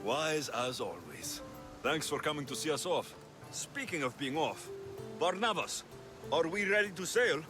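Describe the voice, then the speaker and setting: A resolute mercenary hero. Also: male voice, on the high side, a little slow. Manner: steady, rising to rousing shouts. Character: Greek mercenary hero, young adult man, resolute. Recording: game cutscene with music behind.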